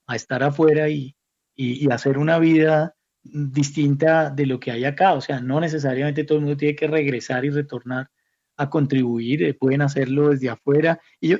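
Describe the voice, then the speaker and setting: Gravelly Colombian man, halting and reflective. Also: a little high, a little mellow. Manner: halting, repeats words while thinking. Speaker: academic guest, institute director. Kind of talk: reflective interview conversation. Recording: slightly dull, muffled audio.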